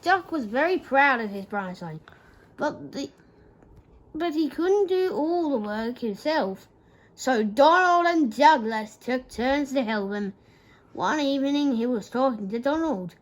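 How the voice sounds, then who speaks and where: A woman reading a children's bedtime story aloud, haltingly, stumbling and repeating words as she goes. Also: high-pitched, lively intonation, low-quality recording.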